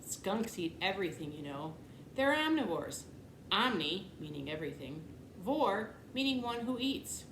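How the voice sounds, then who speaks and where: A woman's voice: mid-range voice, big pitch swings, a little slow, a bit bright. A woman reading the part of an earnest, blunt, literal-minded young boy in a children's storybook, matter-of-fact and insistent. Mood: eager. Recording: echoey room, clear background noise.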